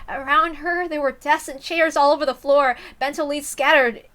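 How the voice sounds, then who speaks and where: A woman narrates a visual novel in a dramatic scripted style. Her voice sits very high and has a bright, sharp tone. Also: fairly even intonation, moderate pace.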